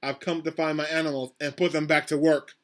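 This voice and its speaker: Casting: blustering male circus boss for a picture book, done by a male reader, rude, loud, slightly high voice. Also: little pitch movement, a little fast, lightly acted. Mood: demanding. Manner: loud, declarative. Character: mean, rude, blustering circus owner, adult man.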